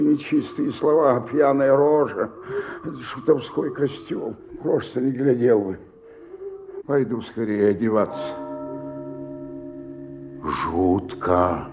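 An actor playing a lonely, drunken comedian of sixty-eight in a theatrical radio play, agitated and lamenting. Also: male voice, medium pitch, gravelly, highs cut off. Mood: frightened, self-reproaching. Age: older. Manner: agitated, lamenting, muttering to himself. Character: drunken, lonely, frightened 68-year-old comic stage actor. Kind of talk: theatrical radio drama acting.

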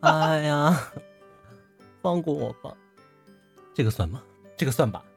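A woman's voice: low voice, expressive, measured pace, breathy edge, faint music behind. A woman voice actor chatting casually as a guest, bashful, giggly and hesitant. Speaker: voice actor guest on a cast livestream. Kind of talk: casual unscripted chat.

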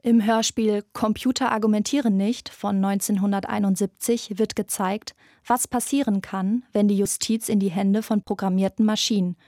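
A woman's voice: mid-range voice, fairly even intonation, moderate pace, hardly any pauses, dark tone, dry recording. A woman hosting a podcast, reading scripted narration close to a studio microphone.

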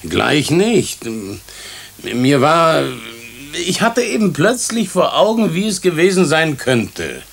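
An actor playing a troubled, testy senior hospital physician in a radio drama, speaking in hesitant, broken phrases. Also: male voice, on the high side, wildly swooping pitch, slow, vocal fry, exaggerated voice.

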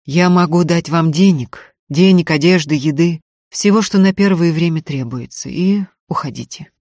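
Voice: mid-range voice, moderate pace, a little mellow, no room sound, close to an everyday voice. A narrator playing a grave, guilt-ridden but firm landowner in a dramatic audiobook. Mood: heavy, resolute. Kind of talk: dramatic audiobook character reading.

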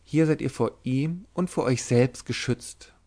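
A man's voice: medium pitch, a little slow, warm tone, natural voice. A man reading a storybook, voicing a bold young witch-maiden who is sly, confiding and commanding. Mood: brisk, conspiratorial. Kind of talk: dramatic literary audiobook reading.

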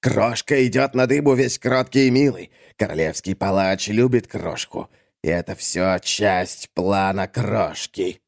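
A man voicing a dim, menacing thug in an audiobook, grumbling gruffly and boasting like a simpleton. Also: on the low side, a little slow. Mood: sullen scheming. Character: dim, menacing male thug who speaks of himself by name. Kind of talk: audiobook character acting.

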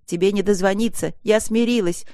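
A woman's voice: mid-range voice, moderate pace, creaky, no echo, clean studio sound, pitched above the performer's own voice, lightly acted. A woman voicing a furious, tearful middle-aged wife in an audiobook, wailing and exclaiming her accusations. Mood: tearful, accusing.

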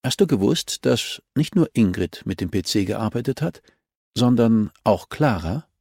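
Man reading as a cool policewoman grilling a suspect, crime audiobook, calm but pushy, slight creak. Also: on the low side, measured pace, no echo, studio quality, voice pushed up, exaggerated voice. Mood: calm pressure. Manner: calm, insistent, accusatory.

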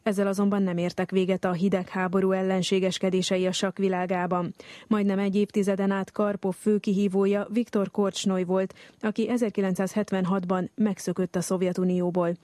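A woman reading a scripted radio documentary narration in a steady, measured way. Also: medium pitch, dry recording.

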